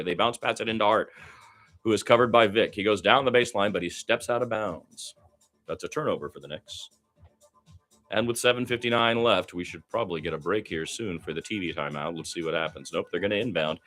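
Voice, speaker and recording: A man giving live radio-style basketball play-by-play, excitable in fast action and conversational between plays. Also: fairly low pitch, soft background music.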